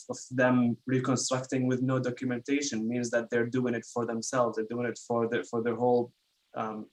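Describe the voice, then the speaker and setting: A man's voice: mid-range voice, poor audio. Earnest man from Iraq on a panel. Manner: earnest, halting with restarts. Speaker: webinar panelist. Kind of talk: online panel talk.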